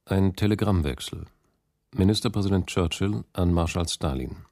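A male announcer reads terse documentary headings for a radio drama. His voice is very deep and gravelly, recorded close and dry. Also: moderate pace, a little mellow, no echo.

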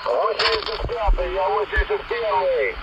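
Male radio controller, crisp procedural calls, high and animated, dull radio sound under loud static. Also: normal speed. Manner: clipped radio procedure, call signs and acknowledgements. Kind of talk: military radio traffic.

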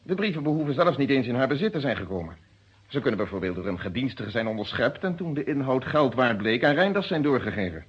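A husky, methodical police inspector, lo-fi radio drama. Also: male voice, medium pitch, sing-song. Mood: insistent. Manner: formal, precise, deliberate phrasing. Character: methodical, unyielding police inspector questioning his own friend. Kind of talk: Dutch detective radio drama acting. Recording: dull lo-fi old radio recording.

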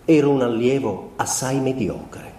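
A male actor playing a sensitive, scrupulous young husband confessing through a letter, in a measured, literary theatrical monologue for radio. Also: medium pitch, warm tone, natural voice. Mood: wistful recollection. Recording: roomy, distant mic, faint noise.

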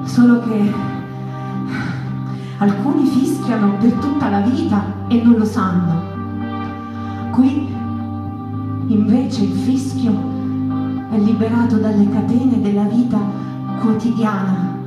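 An actress playing a wistful, poetic narrator in a literary stage monologue, measured and deliberate with pauses. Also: female voice, a little high. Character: wistful, poetic female narrator of the mouse folk. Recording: very echoey theatre with music underneath.

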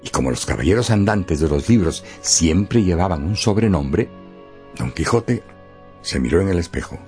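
A man narrating a radio story expressively and theatrically, with dramatic pauses and emphasis. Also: sits low, expressive, a little mellow, soft background music.

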